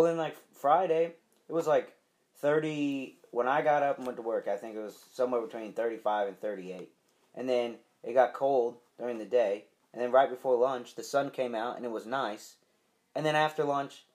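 A man's voice: mid-range voice, fairly even intonation, normal speed, a little mellow. A man co-hosting a wrestling podcast in casual chat, a bit stuffy with a lingering dry cough. He talks in a laid-back, hesitant way, full of "um" and "like". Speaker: podcast co-host.

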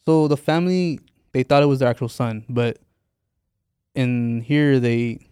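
A man's voice: medium pitch, a little slow, warm tone, clean tone, dry recording, clean studio sound. A man in a casual podcast chat, easygoing and explaining things plainly.

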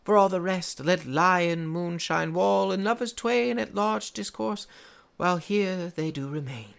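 An actor playing an earnest amateur player who declaims a pompous prologue with halting, misplaced pauses in a Shakespeare radio play. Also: medium pitch, creaky, fairly bright tone. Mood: pompous, theatrical.

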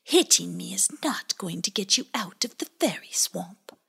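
A woman voicing a stern, mysterious swamp fairy in a hushed, breathy whisper, slow and knowing. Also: lowish voice, animated, exaggerated voice.

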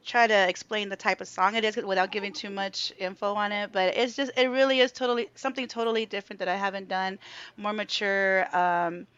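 A woman's voice: mid-range voice, steady tone, fairly quick, fairly bright tone, clean tone, lo-fi sound. A young adult woman singer chatting casually as a guest, hesitant and searching for words. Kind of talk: casual interview chat.

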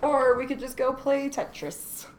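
A woman's voice: high voice, fairly even intonation, a little slow. A young woman co-hosting a podcast in casual chat, joking and sarcastic, often laughing. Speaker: podcast co-host. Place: small room, mic on table. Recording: faint background noise.

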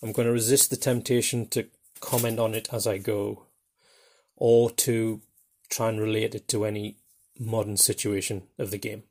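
An older man from northeast England speaks with a broad Geordie accent and a low, gravelly voice. He talks in a loose, self-deprecating way, full of fillers and restarts, as he hosts his own small podcast. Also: flat delivery, moderate pace, darkish tone. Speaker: podcast host. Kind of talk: casual monologue.

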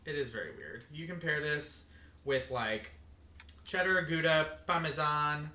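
A man's voice: a little high, lively intonation, measured pace, bright, forceful tone. A young man co-hosting a casual podcast, rambling with lots of fillers and swearing freely. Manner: loose, swears a lot, rambling with many fillers. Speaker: podcast co-host.

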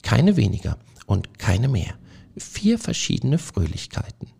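Ein Mann, der eine sanfte, verträumte männliche Feldmaus spricht, ruhig und bescheiden. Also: male voice, mid-range voice, measured pace, creaky, breathy edge, pitched above the performer's own voice, heavily acted. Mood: reciting. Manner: soft, calm and modest. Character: gentle dreamy male field mouse poet.

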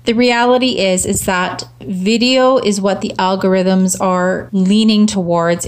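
A woman hosting a social media marketing show, talking casually and emphatically, her voice slightly rough from cheering. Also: medium pitch. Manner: upbeat, emphatic repetition. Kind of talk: casual solo talk to camera.

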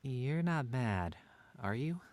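Man acting a wry, animated stay-at-home dad. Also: mid-range voice, slow pace, no echo. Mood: wry, serious. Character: playfully bickering, doting stay-at-home dad. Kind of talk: two-hander audio drama reading.

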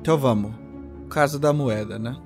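A man's voice: medium pitch, normal speed, loud music behind the voice. A man playing a tabletop game, casually talking through the plan with the others.

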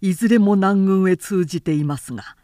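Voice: medium pitch, normal speed, warm tone, no echo, studio quality, lightly acted. A woman voicing an anxious, deferential male army officer in an audiobook, formal, urgent and emphatic. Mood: dutiful, urgent.

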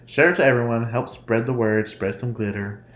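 A man's voice: mid-range voice, fairly even intonation, moderate pace. A man with a nasal voice hosting a casual solo podcast, rambling and laughing often. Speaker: podcast host. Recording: roomy echo, faint noise.

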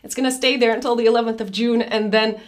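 A woman with a raspy, hoarse voice that sits on the higher side talks through a monthly horoscope forecast. She is chatty and affectionate with her viewers, stumbling over words and filling pauses with ums and likes as she goes. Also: flat delivery. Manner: chatty, addresses viewers fondly, many fillers and restarts. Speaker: astrologer.

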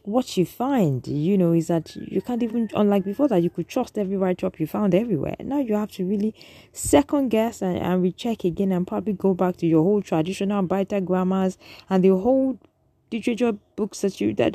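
A woman's voice: medium pitch, mellow, dry recording. A Nigerian woman giving an earnest, lecturing opinion monologue to an audience, often restarting her sentences.

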